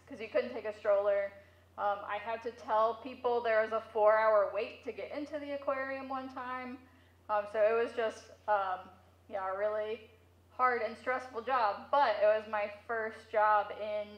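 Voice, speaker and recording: A woman's voice: medium pitch, lo-fi sound. A woman giving casual interview answers as a museum curator, heard through a megaphone in an echoey room.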